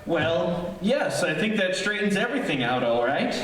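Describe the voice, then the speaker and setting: Echoey, high-voiced exasperated radio dad played by a man. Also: sing-song, moderate pace, fairly bright tone. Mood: conciliatory. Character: exasperated middle-aged father in a radio family comedy. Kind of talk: 1940s radio comedy acting. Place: live student stage performance of a radio play. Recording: echoey, distant room sound with noise.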